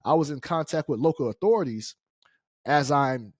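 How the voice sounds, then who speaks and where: A man's voice: on the high side, lively intonation, moderate pace, dry recording, clean studio sound. A middle-aged American man telling a personal story casually and colloquially, acting out the dialogue as he goes. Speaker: storyteller, show host.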